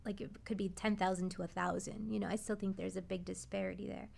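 A woman's voice: mid-range voice, little pitch movement, fast, vocal fry, darkish tone. A woman speaking as an expert guest on a talk show, giving informal, encouraging and emphatic advice. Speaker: expert guest, prenatal massage therapist.

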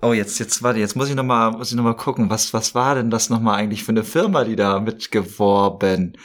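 A man's voice: lowish voice, fairly quick. A man, chatting casually and enthusiastically as a podcast guest. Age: 30s. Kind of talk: casual chat.

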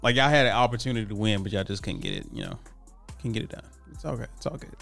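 Male host, animated and expressive pitch, friendly casual banter. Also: fast talker, creaky, faint music behind. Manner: animated, upbeat host greetings. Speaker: podcast host. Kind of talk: casual chat.

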